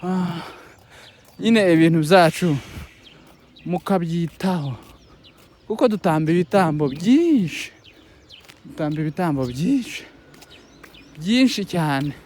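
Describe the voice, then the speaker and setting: A Rwandan man, a native Kinyarwanda speaker, talking to viewers as a content creator in a casual vlog, halting and pleading with many restarts. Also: a little high, expressive, darkish tone, slight background noise. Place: outdoors by a road with passing buses.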